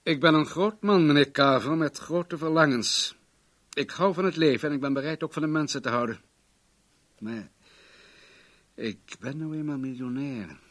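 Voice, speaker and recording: An actor in a Dutch radio drama playing an imperious, self-satisfied millionaire with a grand, commanding manner. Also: male voice, a little high, normal speed, long pauses, a bit bright, natural voice. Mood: grandiose, confiding.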